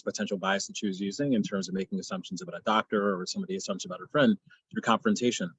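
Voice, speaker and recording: A man's voice: fairly low pitch, slightly rough, dry recording, poor audio. A man speaking as a panelist on a video call, thinking aloud in long run-on sentences. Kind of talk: panel discussion.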